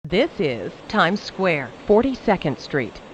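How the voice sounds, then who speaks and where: A woman giving recorded train announcements in a measured, formulaic announcer delivery. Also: lowish voice, sing-song, slight background noise. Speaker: transit announcer.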